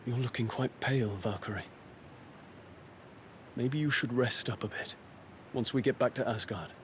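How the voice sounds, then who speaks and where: A man's voice: medium pitch, moderate pace, a little hiss or hum, natural voice. A man playing an easygoing, considerate warrior companion in a fantasy video game, with casual phrasing. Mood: concerned.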